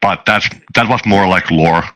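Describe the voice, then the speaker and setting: A man chatting casually as a guest in an interview, talking in a halting, rambling way. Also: deep voice, sharp tone. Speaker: guest, tabletop game designer and dungeon master. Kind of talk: casual chat, interview answers.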